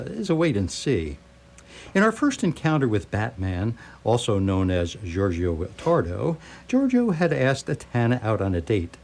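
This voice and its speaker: A man's voice: medium pitch, darkish tone. Guy doing wry storyteller narration for a radio drama, voice really moves up and down. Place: live radio theatre performance.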